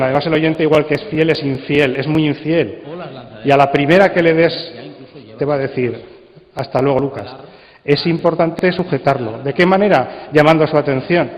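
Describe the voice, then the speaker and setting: A man with a Spanish accent giving an informal conference talk, conversational and putting rhetorical questions to his listeners. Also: fairly high pitch. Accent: Spain. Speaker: conference speaker on radio drama. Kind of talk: informal spoken presentation. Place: large conference hall. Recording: muffled, echoey hall sound.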